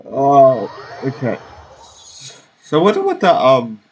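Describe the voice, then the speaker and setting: A man's voice: medium pitch, huge pitch swings, slow, lo-fi sound, a little hiss or hum. A man giving casual commentary as he plays a video game. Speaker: gamer. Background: game music.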